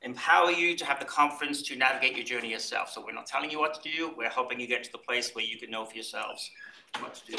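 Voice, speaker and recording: A man from Wales with a Welsh accent mixed with Kentucky, giving a brisk, upbeat welcome talk to students as department chair. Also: fairly high pitch, sharp tone. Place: lecture room. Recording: roomy, from a distance, faint noise.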